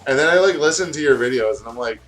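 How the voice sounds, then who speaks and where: A man's voice: medium pitch, animated, fast talker, a bit bright, faint music behind. A man with a casual, profane, self-deprecating way of talking, chatting and reading a story aloud during a game playthrough. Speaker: guest reading fan fiction aloud.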